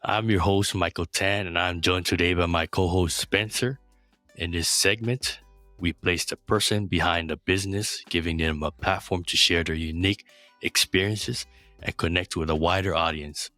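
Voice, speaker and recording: A man hosting a business interview podcast, reading a prepared introduction and sponsor lines at a steady pace before asking questions. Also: deep voice, moderate pace, no room sound, soft background music. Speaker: podcast host.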